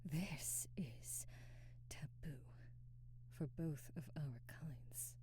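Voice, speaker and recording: Casting: actress for aristocratic female vampire, ASMR roleplay. Low, dark, breathy voice, often whispered, formal, teasing and hungry but restrained. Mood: grave, cautioning. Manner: slow, formal diction with teasing, halting restraint. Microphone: close, dry microphone.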